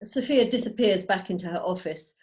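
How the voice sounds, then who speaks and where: A woman's voice: mid-range voice, fairly quick, darkish tone. Woman reading stage directions. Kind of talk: scripted narration. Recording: video call table read.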